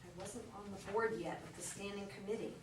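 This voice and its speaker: A woman's voice: mid-range voice, fairly even intonation, fairly quick, lightly acted. A woman acting a hesitant well-off resident, distant, echoey. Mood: guarded, uneasy. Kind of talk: staged play reading. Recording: distant echoey live recording, noisy background.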